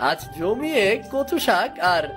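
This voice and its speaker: A woman's voice: fairly high pitch, sing-song, unhurried, music in the background. A woman voicing a kind, cheerful young village husband in a cartoon, speaking eagerly and expressively.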